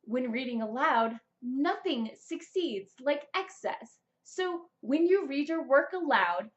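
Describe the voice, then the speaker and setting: A young adult woman presenting a scripted vlog of writing tips in an expressive, deliberate public-speaking manner. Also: sits high, highs cut off. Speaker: vlogger, writer and amateur voice actor. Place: small plain indoor room, seated.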